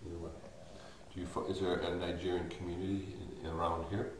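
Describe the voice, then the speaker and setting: A man's voice: deep voice, little pitch movement, fairly quick. A man asking brief, plain interview questions from a distance. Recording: distant from the mic, faint noise.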